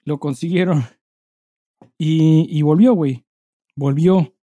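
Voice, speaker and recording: A Mexican man talks as a podcast host in a very soft-edged, dark timbre, pitched a bit high for a man. He speaks in casual, conversational stretches full of slang and curse words. The sound is close and dry. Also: steady tone, slow pace, dry recording. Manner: informal, heavy slang and swearing.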